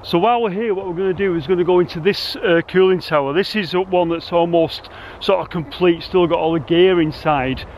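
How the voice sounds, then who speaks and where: A middle-aged man with a fairly high voice, talking excitedly and rambling with lots of exclamations. He holds the camera himself outdoors, with a faint hiss of outdoor noise behind him. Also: fairly quick, dull audio. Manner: enthusiastic, rambling, full of exclamations. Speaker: urban explorer vlogger. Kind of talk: casual exploring vlog with narration. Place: outdoors inside a huge concrete cooling tower. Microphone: camera mic, handheld selfie framing.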